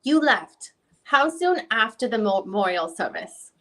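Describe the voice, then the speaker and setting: A woman's voice: fairly high pitch, very animated, a little slow, a bit bright. A woman reading the part of a probing lawyer questioning a witness at a deposition. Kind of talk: dramatic reading of legal questioning.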